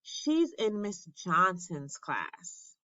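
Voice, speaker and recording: A woman's voice: fairly low pitch, very animated, extremely slow, pushed and bright, dry recording, dull audio, exaggerated voice. A woman reading the part of an eager, loyal third-grade boy in a storybook, emphatic and enthusiastic. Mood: indignant. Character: eager, loyal third-grade boy, supportive classmate who loves art.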